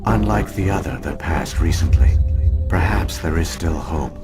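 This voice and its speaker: An actor playing an ancient alien archive program that speaks in a measured, grave, formal way. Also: male voice, very deep voice. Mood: solemn. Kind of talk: video game voice acting. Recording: music playing behind.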